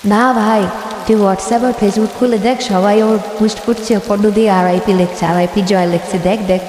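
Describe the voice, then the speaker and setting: An urgent, agitated caller, acted by a woman in an audio horror drama. The voice is soft-toned and insistent, repeating itself, in heavy echo with faint hiss and rain. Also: mid-range voice, steady tone, normal speed, close to an everyday voice. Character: agitated friend breaking bad news by phone. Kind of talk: audio horror story acting. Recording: very echoey, hissy with rain noise.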